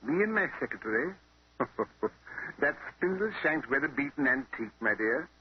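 An actor playing a pompous, evasive British husband who stammers and protests, in 1930s radio style. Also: male voice, medium pitch, big pitch swings, normal speed, husky. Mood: blustering denial. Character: flustered philandering English husband, pompous and evasive. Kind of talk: 1930s radio comedy-mystery acting. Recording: noisy muffled 1930s radio recording.

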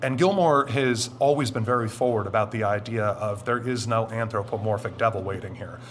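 A middle-aged man with a deep voice, answering interview questions in a deliberate, explanatory way with drawn-out emphatic phrases. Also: a little hiss or hum. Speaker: interview guest.

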